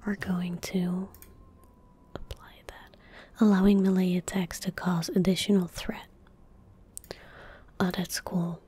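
A young woman gives casual ASMR commentary while gaming, very close to the mic. Her voice is breathy and whispered, with a dark, relaxed tone. She speaks gently and slowly, with little rise or fall in pitch. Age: young adult. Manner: calm, gentle, unhurried. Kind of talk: soft-spoken ASMR, casual gameplay commentary. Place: small indoor room at a desk. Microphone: close desk microphone.

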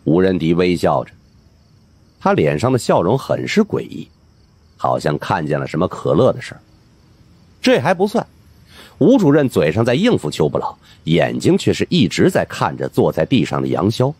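A man with a husky voice narrating a supernatural mystery audiobook in a dramatic scripted style. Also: low voice, huge pitch swings, normal speed, darkish tone.

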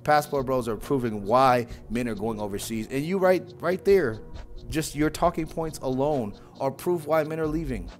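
A man's voice: medium pitch, expressive, normal speed, faint music behind. A young man hosting a reaction video from a small room at home, talking casually and mockingly into a wired earphone mic. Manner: casual and mocking, says "bro" often. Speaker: reaction channel host.

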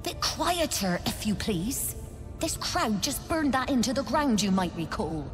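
Game role: shrewd female smuggler, higher voice, cool and businesslike, reverberant, light music. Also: sing-song, unhurried. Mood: cool, dry. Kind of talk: video game dialogue. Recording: echoing hall, faint game music behind.

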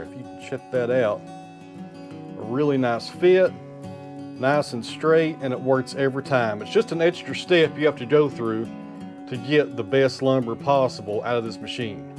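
A man in his thirties talks viewers through his woodshop machinery in a plain-spoken, folksy way, calling them friends and guys. He holds the camera close at arm's length in an indoor workshop. Plucked guitar music plays clearly under his voice. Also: medium pitch. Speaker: sawmill vlogger. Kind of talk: casual how-to explanation to camera.

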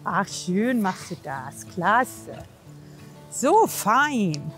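A woman's voice: medium pitch, very animated, drawn-out words, faint music behind. A woman working as a horse physiotherapist, chatting casually and encouragingly outdoors, with colloquial praise and coaxing. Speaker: horse physiotherapist assessing a rider and mare.

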